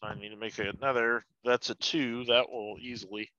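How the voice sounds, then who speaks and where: Bright, clear-voiced man at a game table. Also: mid-range voice, level tone, normal speed, no room sound. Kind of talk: casual game talk. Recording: slightly dull, lo-fi sound.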